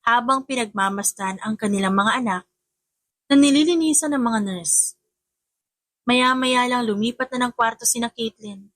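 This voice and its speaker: High-pitched Filipino woman narrating a romance story. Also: fairly bright tone, no room sound. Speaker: audiobook narrator.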